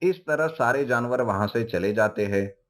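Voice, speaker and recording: A man's voice: medium pitch, animated, moderate pace. A man giving scripted narration for a children's moral story.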